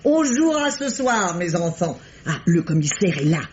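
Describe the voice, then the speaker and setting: A flustered theater manager with a high, bouncing voice that leaps around as he frets and exclaims. The sound is muffled and faintly hissy, like an old radio broadcast. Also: very animated, unhurried. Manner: agitated, exclamatory, bustling. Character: excitable middle-aged theater manager, fussy and dramatic. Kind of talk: 1950s French radio drama acting.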